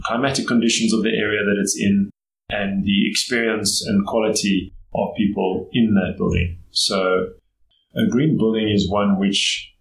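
A middle-aged man with a South African accent, answering interview questions in a thoughtful, measured way with pauses to choose his words. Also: fairly low pitch, creaky. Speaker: guest. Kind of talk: interview conversation. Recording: echoey room, faint background noise.

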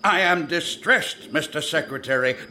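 An actor playing an indignant, drunken, folksy vice president in an 1865 historical audio drama. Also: male voice, on the high side, normal speed, bright, forceful tone. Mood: aggrieved, indignant. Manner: blustering, aggrieved, colorful.

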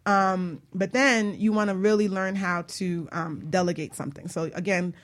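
A woman hosting a casual radio chat show, talking in an animated, chatty way and acting out quotes as a business coach. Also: mid-range voice, normal speed.

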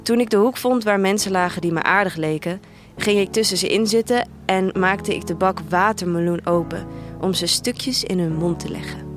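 An actress playing an introspective young woman who narrates her life in a dystopian future, in measured, deliberate first-person literary storytelling. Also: mid-range voice, lightly acted. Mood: reflective. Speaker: narrator of a radio drama. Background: music.

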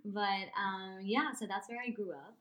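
A woman's voice: mid-range voice, normal speed. Chatty woman in an echoey room. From Syria. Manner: relaxed, chatty, laughs easily. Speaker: interviewee. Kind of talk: casual chat.

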